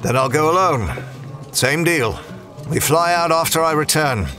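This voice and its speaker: An actor playing a stubborn, reckless archaeologist adventurer in an audio drama, exasperated and sardonic with old-fashioned exclamations. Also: male voice, fairly high pitch, a little slow. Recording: loud music behind the voice.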